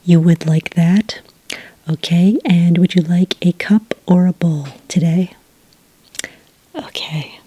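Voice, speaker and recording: A woman speaking very softly and breathily in an ASMR roleplay as a friendly cafe witch taking an order, gentle, unhurried and chatty, close to the microphone. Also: fairly low pitch, steady tone, dry recording, rough recording. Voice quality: breathy, whispery. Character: friendly, obliging witch serving at a quaint cafe. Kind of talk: soft-spoken whispered ASMR customer-service roleplay. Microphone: close, dry microphone.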